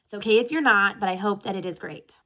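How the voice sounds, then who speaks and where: Sniffly woman talking straight to her camera, chatty, wanders off topic, mid pitch. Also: fast, bright, forceful tone. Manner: candid, chatty, goes off on tangents. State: sniffly from allergies. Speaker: military spouse vlogger. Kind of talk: casual talking-head check-in video. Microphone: self-recorded video.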